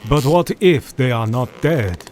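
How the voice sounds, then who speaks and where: A man playing a deliberate, portentous vampire-hunting professor. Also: medium pitch, lively intonation, darkish tone, a little hiss or hum, lightly acted. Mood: grave, knowing. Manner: formal, portentous, commanding. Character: grave, learned male professor and vampire hunter. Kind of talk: audio drama acting, period speech.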